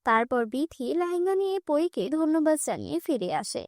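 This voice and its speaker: A woman narrating a children's cartoon fairy tale with a high voice in clear, even storytelling. The recording is dry and close. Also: a little fast, dry recording.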